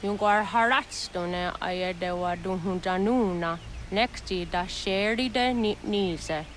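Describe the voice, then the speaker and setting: An actress playing a solemn village elder woman, speaking seriously and deliberately in a dramatic video game. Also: mid-range voice, a little hiss or hum, natural voice.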